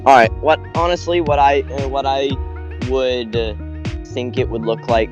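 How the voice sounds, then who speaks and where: A man chatting casually as a podcast panelist in a hesitant, halting way. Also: mid-range voice, fairly even intonation, soft background music. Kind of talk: casual chat.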